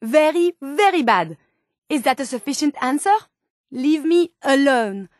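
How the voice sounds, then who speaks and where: Polite robot lady scientist, French accent, high-pitched, quaintly formal, devoted, dry studio-clean game dialogue. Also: expressive, a little slow, dry recording. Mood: displeased. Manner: formal, precise, slightly quaint phrasing. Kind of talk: video game companion dialogue.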